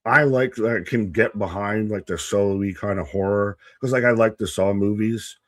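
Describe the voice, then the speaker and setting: A man's voice: on the low side, steady tone, moderate pace, fairly bright tone, no echo, clean studio sound. A man co-hosting a casual wrestling watch-along stream, laid-back and joking, often trailing off mid-thought. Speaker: co-host.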